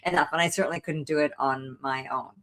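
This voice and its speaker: A woman from Canada, a guest on a live video call, soft-spoken with light laughs. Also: deep voice, steady tone, fast talker.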